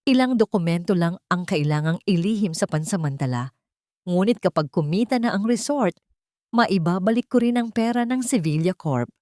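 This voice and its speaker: A woman's voice: on the low side, expressive, moderate pace, darkish tone, no room sound, clean studio sound, close to an everyday voice. A woman voicing a trusting, infatuated male executive in an audiobook, earnest and reassuring.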